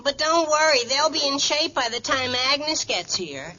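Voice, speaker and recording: A hapless teenage boy in a vintage radio comedy, plaintive and politely wheedling. His voice is high and piercing, on a dull, noisy recording. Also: animated, normal speed, bright, forceful tone, heavily acted. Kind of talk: old-time radio sitcom acting.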